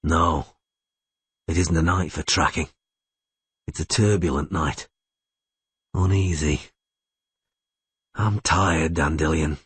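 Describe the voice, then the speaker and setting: Grim male monster hunter, man voicing, terse and quiet, husky voice, dry recording. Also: a bass voice, steady tone, takes it slowly, pauses often, dry recording, pitched below the performer's own voice, heavily acted. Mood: weary.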